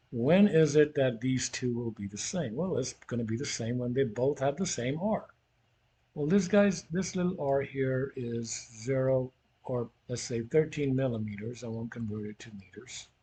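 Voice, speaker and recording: A man's voice: medium pitch, measured pace, dark tone, breathy edge. A man teaching in an informal, conversational way, talking through a worked physics problem as if thinking aloud.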